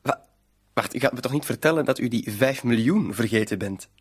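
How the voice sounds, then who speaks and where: A menacing blackmailer in a radio play, played by a man. He has a husky voice of medium pitch. His delivery is mocking and needling. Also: brisk, natural voice. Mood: sneering threat.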